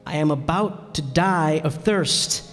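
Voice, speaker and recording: A man playing an earnest, measured stranded pilot in a radio play. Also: on the high side, a bit bright. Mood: concerned, weary. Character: stranded pilot, concerned, gentle adult narrator. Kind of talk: radio play acting and narration. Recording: echoey hall, music behind.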